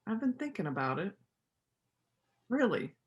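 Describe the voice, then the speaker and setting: A woman's voice: mid-range voice, sing-song, measured pace, dull audio. A woman acting a lonely, plainspoken veterinarian and painter in a church reader's theater play. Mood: candid.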